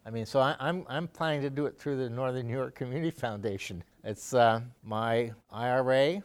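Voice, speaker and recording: A middle-aged man talks in a loose, conversational way, circling back with "you know" and false starts. His voice sits at an ordinary middle pitch. He sounds unhurried and sincere as he explains himself. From Canada. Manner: rambling, full of fillers and restarts. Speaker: donor interviewee. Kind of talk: conversational interview.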